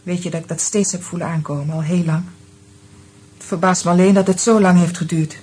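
Husky, cool, bitter ex-wife. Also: female voice, on the low side, steady tone, fairly quick, warm tone. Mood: bitter, knowing. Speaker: actress in radio drama. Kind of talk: Dutch radio play dialogue.

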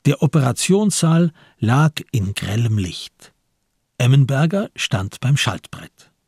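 A man narrating a literary crime story for a radio play in a husky voice, measured and grave. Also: medium pitch, sing-song, a little mellow, no room sound, studio quality, heavily acted. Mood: sober, hushed. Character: sober male storyteller of a crime tale.

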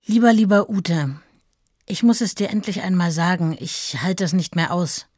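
A woman's voice: lowish voice, normal speed, darkish tone, no echo, studio quality, lightly acted. An actress playing a devoted, earnest woman reading out her love letters intimately in a radio play. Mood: anxious, confessing.

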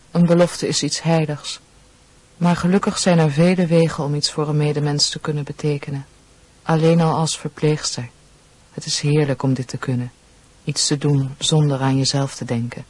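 Radio drama: devout young nurse, gentle and formally polite, husky tone, close dry recording. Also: female voice, low voice, steady tone, moderate pace, no echo, poor audio. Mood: formal, dutiful. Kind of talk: Dutch radio play acting.

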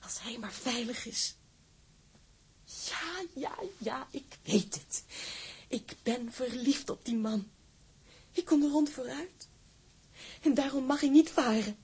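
A woman's voice: high voice, slow, long pauses, darkish tone, slightly rough, full character voice. An actress playing a passionate, lovestruck female newspaper reporter in a Dutch drama, emotional and intense. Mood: anguished. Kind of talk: Dutch broadcast drama acting.

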